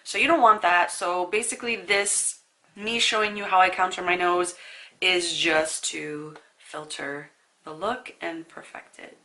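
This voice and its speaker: A woman's voice: fairly low pitch, fairly even intonation, a little slow, pushed and bright. A young woman with a stuffy nose from a sinus infection, chatting casually through a makeup tutorial with asides to the viewer. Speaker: beauty vlogger.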